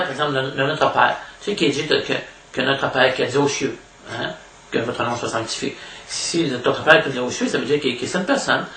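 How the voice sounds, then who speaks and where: A man with a Québécois French accent giving an informal spiritual lecture as a devotional preacher, haltingly, repeating words and adding little question tags. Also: mid-range voice, sharp tone. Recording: echoey room, distant mic, faint noise.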